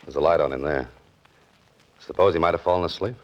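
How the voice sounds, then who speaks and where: A man's voice: very deep voice, quick pace, noisy background. An American man playing a wry, easygoing writer-adventurer in a 1940s radio detective drama, with laconic, hesitant asides. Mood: cautious, dry. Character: wry, easygoing male writer-adventurer narrating his own case. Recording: dull old radio recording with music.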